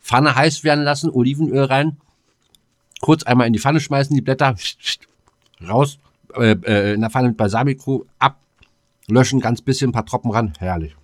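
A man's voice: mid-range voice, normal speed, dry recording, studio quality. A man, co-hosting a casual podcast in a blunt, colloquial way, sometimes talking while eating. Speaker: podcast co-host.

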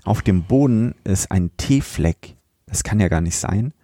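A man's voice: low-pitched, lively intonation, measured pace, dark tone, dry recording, studio quality. A man co-hosting a podcast, casually retelling and discussing the plot of an audio drama. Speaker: podcast co-host.

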